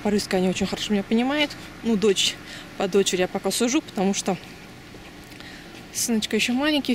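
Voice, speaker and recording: A woman in her thirties from Uzbekistan, chatting loosely as she walks a city street at night and films on a handheld camera, with many fillers and restarts. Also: mid-range voice. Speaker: vlogger. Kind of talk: casual walking vlog. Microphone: handheld camera mic. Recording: outdoor street noise and passing cars. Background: traffic and street ambience.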